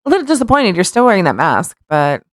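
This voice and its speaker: A woman's voice: lowish voice, very animated, fast, no echo, clean studio sound. A woman co-hosting a podcast, chatting casually in a sarcastic, mocking manner. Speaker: podcast co-host. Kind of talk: casual chat.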